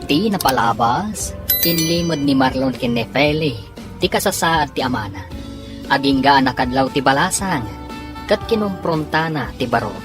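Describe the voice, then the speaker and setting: A woman announcing and narrating a radio drama in a scripted, theatrical, drawn-out delivery. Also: very deep voice, expressive. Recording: music bed under radio announcing.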